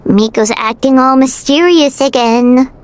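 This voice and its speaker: A woman voicing a small, perky, curious fairy companion in a video game, with a squeaky childish voice and eager, expressive delivery. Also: a little slow, slight background noise. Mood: puzzled. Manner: refers to herself by name, eager, expressive. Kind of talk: animated video game dialogue.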